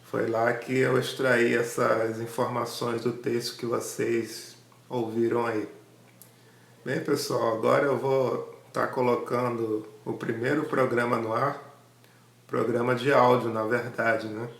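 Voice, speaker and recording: A man's voice: mid-range voice, little pitch movement, measured pace, creaky, reverberant. A man with a Brazilian Portuguese accent informally introducing archive audio as a channel host.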